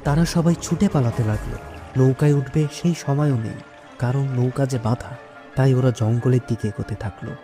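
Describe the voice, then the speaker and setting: A man's voice: mid-range voice, fairly even intonation, a little fast. A man narrating a scripted horror story as the storyteller. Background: music.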